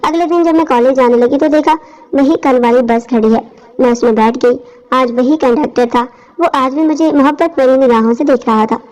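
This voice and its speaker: A woman's voice: sits high, fairly even intonation, moderate pace, darkish tone. A woman reading a scripted first-person story as its narrator. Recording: dull lo-fi audio.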